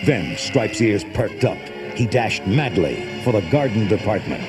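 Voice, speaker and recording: Dramatic male storybook narrator, lively low voice. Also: a little slow. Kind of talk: scripted children's storybook narration. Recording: old cassette tape with music behind.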